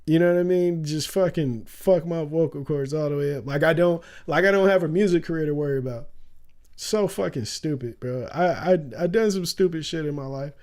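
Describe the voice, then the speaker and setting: A young man chatting casually on a livestream, loose and slangy, laughing often and calling everyone "bro", with a touch of rasp. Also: on the high side, fairly quick, a little mellow. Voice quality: raspy at times. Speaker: streamer.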